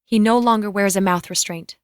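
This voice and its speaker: Female narrator, mid-pitched voice, scripted screenplay reading, stage directions, close dry sound. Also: brisk, dry recording.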